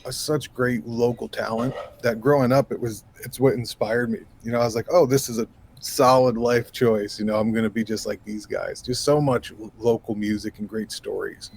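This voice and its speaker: A man with a low, raspy voice talks as a podcast guest. He speaks in an animated, rambling way, with lots of "you know" and "like." He sounds like a relaxed man in his thirties chatting about his life. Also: normal speed. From New York State. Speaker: guest musician. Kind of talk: casual interview conversation.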